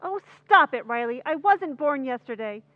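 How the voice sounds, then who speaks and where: A woman playing a sharp, exasperated housewife in an old-time radio comedy, pointed and scolding. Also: sits high, expressive, moderate pace, no echo, muffled recording.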